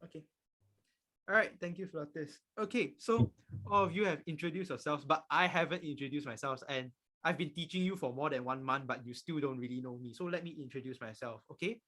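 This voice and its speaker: A man's voice: fairly high pitch, brisk, sharp tone. A nineteen-year-old man with a Malaysian accent teaching an informal online class, emphatic and repeating his key points. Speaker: physics tutor.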